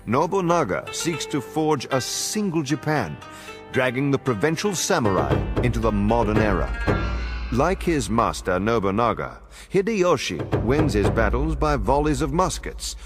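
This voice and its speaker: A man giving a dramatic scripted historical narration for a game cutscene, in a measured, formal storytelling manner. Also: medium pitch. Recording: music behind the narration. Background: background music.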